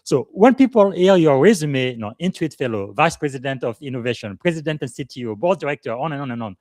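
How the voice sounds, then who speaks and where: A man hosts a live leadership podcast and introduces his guest formally, with many pauses and fillers. His voice sits in a middle register. The sound is dry and close, with no room echo. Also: medium pitch, animated, darkish tone. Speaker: podcast host and leadership coach.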